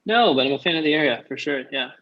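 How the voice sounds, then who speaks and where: An actor reading an awkward, reluctant friend in his thirties, with a halting, casual delivery. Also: a little high, lively intonation. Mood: awkwardly friendly. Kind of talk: comedy pilot table read. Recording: muffled, dull online call audio.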